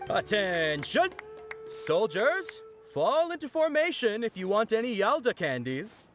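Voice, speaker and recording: An actor voicing a jolly festival knight in a video game, given to theatrical proclamations and friendly patter for children. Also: male voice, high voice, big pitch swings, unhurried, music in the background. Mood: mock-commanding.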